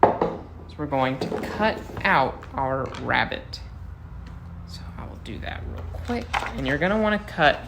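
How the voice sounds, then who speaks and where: A man's voice: mid-range voice, big pitch swings, measured pace, gravelly, noisy background. An American man, a first-grade teacher, gives a casual, playful craft demonstration for young children, talking to them as he works.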